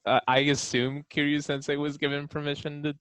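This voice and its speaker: A man's voice: on the high side, little pitch movement, fairly quick, fairly bright tone, smooth, no room sound. A man chatting casually and reading out answers in an interview, playful and enthusiastic. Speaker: editor reading author's answers. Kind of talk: casual panel chat with read-out answers.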